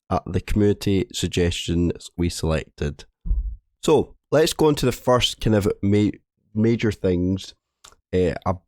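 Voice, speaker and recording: A man with a low, hoarse voice from a cold talks through a game update. His tone is dark and mellow and the sound is dry and close. He rambles casually and trips over and restarts his words. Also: no room sound. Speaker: gaming video host.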